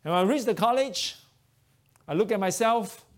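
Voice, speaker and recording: Malaysian guy telling his testimony at church, choppy english, fairly high voice. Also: normal speed. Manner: broken English, animated storytelling. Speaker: preacher giving testimony. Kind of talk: testimony sermon.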